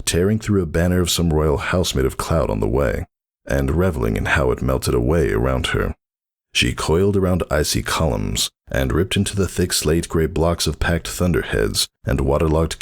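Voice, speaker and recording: Male story narrator, bottomless bass with a creaky edge, dramatic scripted reading.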